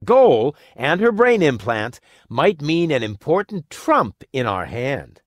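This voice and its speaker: A man's voice: a little high, sing-song, takes it slowly, dry recording, full character voice. An actor playing a cocky, goofy young man in a video game, glib and theatrical. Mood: earnest. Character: cocky, goofy young man, giggling self-appointed resistance schemer. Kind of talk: video game cutscene voice acting.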